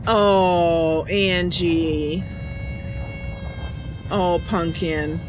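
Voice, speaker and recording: A woman's voice: fairly low pitch, fairly even intonation, very slow, over music. A woman giving casual, playful commentary as host of a gaming stream. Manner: giggly, playful. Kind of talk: casual live gameplay commentary.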